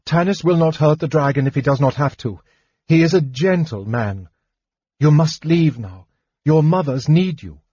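A man's voice: mid-range voice, expressive, drawn-out words, few pauses, mellow, breathy edge, dry recording, dull audio, full character voice. A man voicing a chieftain's daughter in a fantasy audiobook, stern and commanding with an urgent edge.

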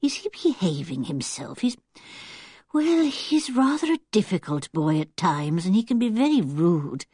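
Older British lady for a children's storybook, played by a woman: genteel, kindly, a fretful chatterer with lively intonation. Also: lowish voice, measured pace, slightly rough, no echo, clean studio sound, exaggerated voice. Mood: anxious. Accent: British English. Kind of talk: children's audiobook character acting.

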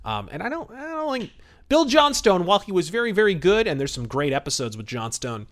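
A man's voice: high-pitched, very animated, brisk, fairly bright tone. A man hosting a podcast, giving casual, enthusiastic commentary in an emphatic, conversational way. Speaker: podcast host.

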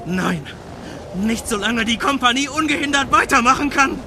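A high-voiced defiant rebel fighter. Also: male voice, lively intonation, normal speed. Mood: defiant, desperate. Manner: shouting, insistent. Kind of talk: dramatic German audio drama acting. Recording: noisy battle effects behind voice.

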